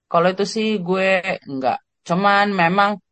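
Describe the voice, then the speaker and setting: An Indonesian man living abroad, chatting casually as a podcast guest, slangy and relaxed with hesitant fillers. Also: high voice, measured pace, no room sound.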